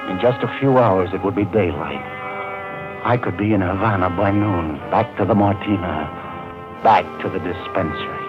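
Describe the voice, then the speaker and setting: A man's voice: on the low side, close to an everyday voice. An actor playing a cynical ship's doctor who narrates his adventure in a wry, clipped, mid-century radio style. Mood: weary, wry. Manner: wry, clipped, hard-boiled. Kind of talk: 1940s-50s radio acting, first-person adventure narration. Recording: muffled old radio recording, loud music.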